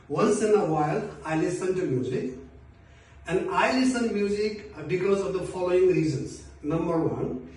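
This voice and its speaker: A man in his fifties with a Nepali accent, lecturing a class as an English test instructor, deliberate and repetitive, gesturing as he explains. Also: high-pitched, darkish tone. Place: medium indoor classroom by a whiteboard. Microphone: phone camera from across the room. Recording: echoey classroom from far off.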